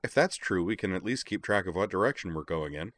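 A man's voice: low-pitched, expressive, fast, a bit bright, no room sound. A man voicing an observant, helpful woman in an audiobook, earnest and explanatory in manner.

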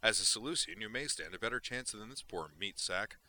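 A man voicing a gleeful, boastful male android killer in an audiobook, loud and theatrical. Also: lowish voice, animated, a little fast, slight background noise, pitched above the performer's own voice. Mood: gloating. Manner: loud, expansive, cackling.

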